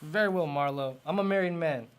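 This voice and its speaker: Man acting a curt, higher-voiced suspicious sugar daddy. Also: brisk, clean tone, natural voice. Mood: guarded, businesslike. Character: terse, suspicious married man with money. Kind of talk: radio noir drama acting.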